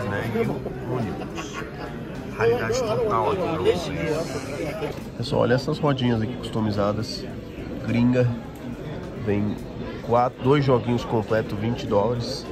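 A Brazilian man with a Brazilian Portuguese accent, chatting informally and in slang as he gives a casual walk-around vlog commentary. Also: lowish voice. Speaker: toy collector and vlogger. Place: busy toy convention, recorded on the move. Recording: busy crowd noise of a convention. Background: crowd chatter.

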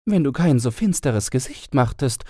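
Man acting young storybook princess, high-pitched, bright delivery in a swooping melody, cheerful, naive, bossy. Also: expressive, normal speed, no echo, clean studio sound, natural voice. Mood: playful, inviting. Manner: playful, naive, bossy chatter. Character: cheerful chattering young fairy-tale princess.

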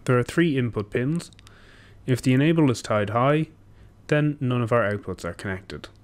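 A young man giving a technical walkthrough, steady and explanatory with occasional ums and self-corrections, recorded at a desk in a small room. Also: mid-range voice, normal speed. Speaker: engineer presenting a tutorial. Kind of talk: technical walkthrough over screen share.

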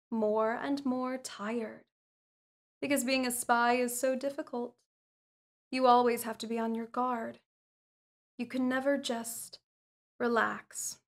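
A woman voicing a calm, manipulative female hypnotist who lulls a captured spy with soothing, repetitive words in an ASMR roleplay. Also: fairly high pitch.

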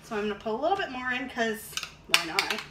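A woman's voice: fairly high pitch, sing-song, quick pace. A woman in her thirties chatting casually and thinking aloud as she cooks at home, recorded on a camera an arm's length away. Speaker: vlog host cooking and reviewing a product. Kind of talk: casual chat while demonstrating cooking. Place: home kitchen, indoors. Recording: clattering dishes and clicks, noisy room.

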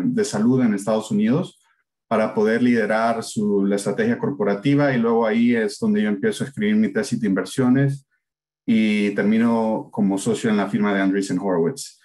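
A man's voice: on the low side, a little mellow. A man in his thirties with a Salvadoran accent, giving an introductory talk at an online event. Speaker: investor, panel moderator. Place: indoors on a video call.

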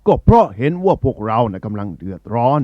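A man voices a ruling eagle in a children's tale, authoritative and caring. His delivery is animated and commanding, at a middle pitch. The sound is dry. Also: fast, dry recording, highs cut off, natural voice. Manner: commanding, addressing a gathering. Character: male eagle ruler of the birds, authoritative yet caring. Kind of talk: acted children's storybook.

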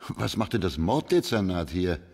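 An actor playing a terse, probing private detective in a radio crime drama, with clipped questions. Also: male voice, deep voice, very animated, normal speed, gravelly, a little mellow, breathy edge, heavily acted. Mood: businesslike. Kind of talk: German radio play acting.

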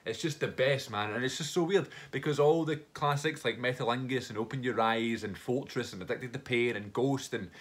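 A man's voice: medium pitch, brisk, hardly any pauses. A young man, stuffy-nosed from hay fever, gushing and rambling as he reacts to a new song at home. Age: young adult. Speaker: music reaction YouTuber. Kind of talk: casual reaction vlog. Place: living room at home.

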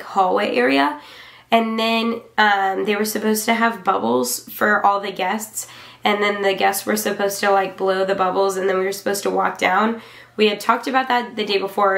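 A woman in her twenties chats to camera in a small room at home that adds a clear echo. Her voice has a lot of fry at an average pitch. She rambles along offhandedly, as if telling a story in conversation. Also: a bit bright. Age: 20s. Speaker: vlogger reviewing own wedding. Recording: roomy indoor echo.

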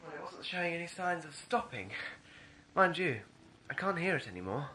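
A man's voice: a little high, big pitch swings, normal speed, pushed and bright, a little hiss or hum, rough recording. A man playing an anxious, sceptical young companion to a time traveller in an audio drama. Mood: wary, wry. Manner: wry, sceptical. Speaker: actor in a fan audio drama. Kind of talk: science fiction audio drama acting.

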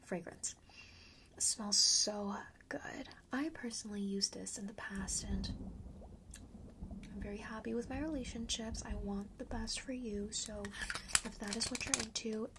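A woman speaking in a breathy, mostly unvoiced whisper, playing a chatty, coaxing shopkeeper in a casual ASMR roleplay. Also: on the high side, steady tone, unhurried, noisy background. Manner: coaxing, chatty, checks in often with the listener. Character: witchy perfume shop seller, friendly and persuasive. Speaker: ASMR performer playing a fragrance shop keeper. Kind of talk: whispered ASMR roleplay, casual sales talk to one customer.

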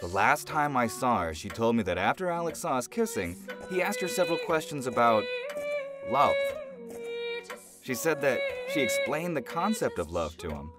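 Guy doing a worried scientist in an audio drama, earnest, pitch jumps around, music behind him. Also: sing-song, normal speed, lightly acted. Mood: uneasy, confiding. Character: anxious lovesick male scientist, suspicious and insistent.

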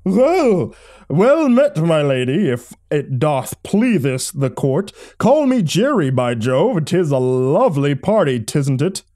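A man's voice: fairly high pitch, sing-song, slow pace, warm tone, no echo, clean studio sound, voice pushed up. A man voicing a goofy, flamboyant joker in an audio story, speaking theatrical mock-archaic English with a fake Cockney accent. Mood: hammy, jovial. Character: flamboyant goofy joker putting on mock old-timey British speech.

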